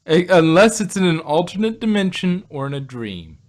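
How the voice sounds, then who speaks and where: A man's voice: sits high, lively intonation, normal speed. A man in a casual podcast discussion, hosting and rambling as he repeats and rephrases his points. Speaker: podcast host. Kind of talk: casual review chat about sci-fi shows.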